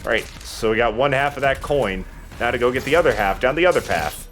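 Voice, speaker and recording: A man's voice: medium pitch, expressive, brisk, fairly bright tone. A man giving casual, joking commentary while playing a video game. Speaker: let's play host playing the game.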